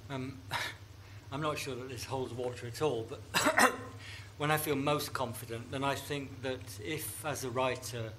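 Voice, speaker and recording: Deliberate man answering on a panel. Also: mid-range voice, noisy background. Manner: careful, deliberate phrasing with slow emphasis. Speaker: writer on a panel. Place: festival stage before a live audience.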